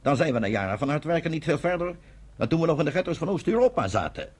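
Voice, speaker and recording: A man's voice: a little high, big pitch swings, quick pace, darkish tone, slightly rough. An actor playing a stern chairman of a secret meeting, oratorical and emphatic, in a Dutch radio play. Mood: grave, indignant.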